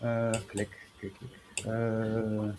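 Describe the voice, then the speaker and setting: A man's voice: fairly low pitch, completely flat delivery, extremely slow, mellow, a little hiss or hum. A man with a Dutch accent, a native Dutch speaker, chatting casually on a livestream, reading viewer comments with many fillers, restarts and chuckles. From Netherlands. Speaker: livestream host.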